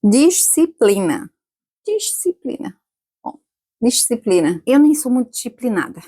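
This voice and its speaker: A woman in her forties talks as a guest on a podcast, her voice sitting a little high. She chats casually, laughs easily and keeps checking in with little tag questions. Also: sing-song, unhurried, dark tone, breathy edge. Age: 40s. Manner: chatty, laughs often, many tag questions. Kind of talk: casual interview chat.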